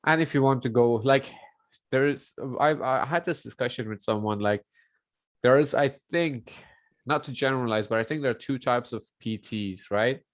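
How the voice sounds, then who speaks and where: A man with a lingering cough chatting casually as a podcast guest, halting as he searches for words. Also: medium pitch, no echo. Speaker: podcast guest and personal trainer.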